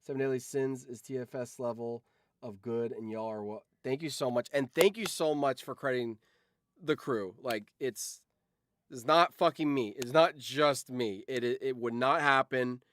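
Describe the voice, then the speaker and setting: Male stream host, medium pitch, dry sound, loose and profane casual talk that tends to ramble. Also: normal speed, a bit bright, dry recording. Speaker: livestream host. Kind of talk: casual livestream chat.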